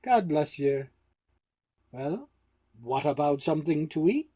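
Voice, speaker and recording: An actor playing a fussy, affectionate, blustery theatre boss in a period satirical audio play. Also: male voice, fairly high pitch, lively intonation, slow, warm tone, breathy edge, heavily acted. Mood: tender.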